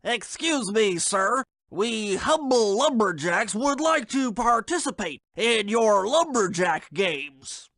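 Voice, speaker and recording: A man voicing a small, brainy, fussy male turtle in a video game, with a high, pinched, precise delivery. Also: sing-song, takes it slowly, few pauses, a bit bright, no room sound, heavily acted. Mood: polite. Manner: precise, wordy, bookish. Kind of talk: video game character voice acting.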